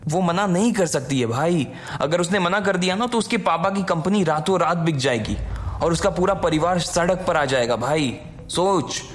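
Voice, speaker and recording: Guy doing a worried college buddy, higher voice, urgent n pleading, audio drama, music under it. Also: expressive, normal speed, close to an everyday voice. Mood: urgent, warning. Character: worried, protective young male college friend, urgent.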